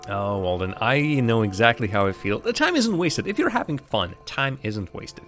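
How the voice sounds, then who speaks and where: A man's voice: medium pitch, very animated, normal speed, fairly bright tone, faint music behind. A young man from Latvia, not a native English speaker, thinking aloud as he plays a game on a livestream and answers chat. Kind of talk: casual live gameplay commentary.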